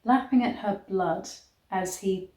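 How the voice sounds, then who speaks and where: A woman reading a story aloud in a measured, theatrical storybook style. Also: mid-range voice, mellow. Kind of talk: dramatic storybook reading with disgusted asides. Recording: echoey, roomy sound.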